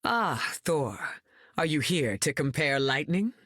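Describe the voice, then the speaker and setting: An actress playing a regal, composed mutant heroine in a video game, with a stately, measured manner and dry wit. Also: female voice, deep voice, very animated, a bit bright, dry recording, full character voice. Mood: proud, wry. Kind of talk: video game character lines.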